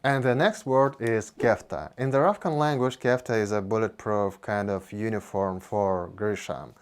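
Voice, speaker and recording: A man's voice: lowish voice, moderate pace, smooth. A man with a Russian accent, a native Russian speaker, giving an informal explanation in slightly hesitant English as the host of an educational video.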